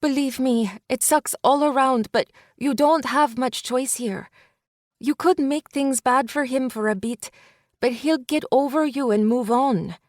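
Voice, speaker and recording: An insistent, protective young woman from an audiobook drama, as the woman reader puts her on. She talks in a raised, pressing voice that keeps pushing hard truths. Close, dry recording with a measured pace. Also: high-pitched, no room sound, voice pushed up. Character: blunt young woman friend, urgent and protective.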